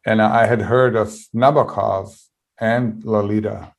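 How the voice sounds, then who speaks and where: A man in his fifties with a Bosnian accent, a native Bosnian speaker telling a reflective story as a panelist, with frequent pauses and restarts. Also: fairly low pitch, fairly even intonation, vocal fry. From Bosnia and Herzegovina. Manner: thoughtful, with many pauses and restarts.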